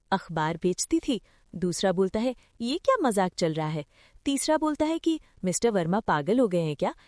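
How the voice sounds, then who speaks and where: Female story narrator, lively intonation, soft warm tone, expressive scripted read. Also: mid-range voice, no room sound, studio quality.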